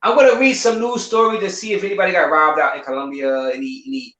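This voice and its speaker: A man's voice: fairly high pitch, fairly even intonation, fast talker, pushed and bright. Laid-back man chatting in an echoey room. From United States. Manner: laid-back, laughing, repeats himself. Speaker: YouTuber guest on a live stream. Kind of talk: casual live-stream chat.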